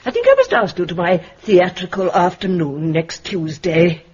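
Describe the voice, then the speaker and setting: A woman's voice: fairly low pitch, huge pitch swings, moderate pace, darkish tone, breathy edge, heavily acted. A woman playing an imperious, eccentric old spinster in a radio drama, speaking in a grand, precise, commanding way. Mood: gracious, grand. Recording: dull lo-fi radio drama recording.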